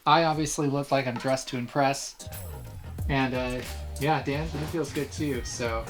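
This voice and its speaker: A man's voice: mid-range voice, steady tone, measured pace. A man in his thirties speaks as the host of an online show, in casual behind-the-scenes chat. Light music plays underneath his voice. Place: indoor video studio.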